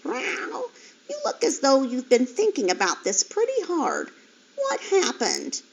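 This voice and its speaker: A woman's voice: high-pitched, wildly swooping pitch, measured pace, gravelly, voice pushed up, full character voice. A woman voicing a chatty, worldly female farm animal in a storybook reading. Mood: knowing. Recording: dull lo-fi recording.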